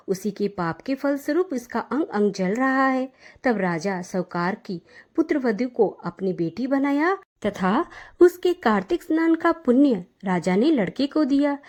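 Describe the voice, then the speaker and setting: Woman telling religious folk tales, kinda high voice, really animated pitch swings. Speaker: storyteller. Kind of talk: devotional folk tale narration.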